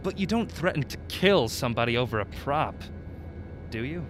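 An actor playing a wry, jittery male traveler in a comic radio drama, excitable and anxious. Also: mid-range voice, moderate pace, background noise, close to an everyday voice.

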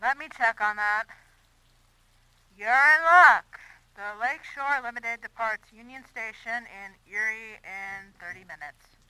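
A woman's voice: on the high side, measured pace, pushed and bright, clear, resonant tone, dry recording, poor audio. A woman playing a chirpy, helpful telephone booking agent in an audio drama, with polished customer-service delivery.

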